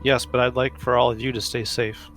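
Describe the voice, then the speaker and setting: A man's voice: medium pitch, level tone, a little fast, a bit bright, soft background music. A man talking casually as a player in a tabletop roleplaying game.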